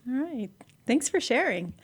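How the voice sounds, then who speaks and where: A woman's voice: high voice, sing-song, unhurried, husky. A woman speaking conversationally as the host and moderator of a panel discussion.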